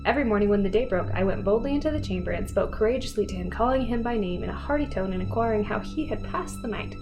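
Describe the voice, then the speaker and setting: A woman's voice: mid-range voice, expressive, fast talker, over music, poor audio. A woman host doing a semi-dramatic read-aloud of a story, wry and informal, breaking off for casual asides.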